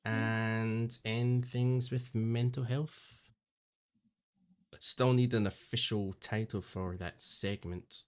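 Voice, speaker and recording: A man's voice: lowish voice, steady tone, slow, smooth. Male podcast host, easygoing banter, light self-mocking humor. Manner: chatty, joking, self-deprecating. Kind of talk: casual chat.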